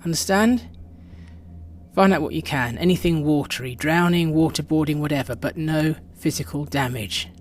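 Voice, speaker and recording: A man's voice: on the high side, moderate pace, a little hiss or hum, natural voice. A man acting a ruthless intelligence chief in a radio drama, clipped and commanding. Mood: cold, commanding. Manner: clipped, authoritative.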